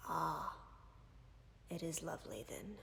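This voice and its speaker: A calm, caring female dragon, voiced by a woman, speaks in a deeply gravelly, fry-laden voice. She talks very slowly and softly, often dropping close to a whisper. Her words trail off into a long, lingering reverb. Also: a little hiss or hum, heavily acted. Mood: calm, tender. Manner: soft, measured, often near-whispered. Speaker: voice actor in audio roleplay. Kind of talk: audio roleplay for a listener.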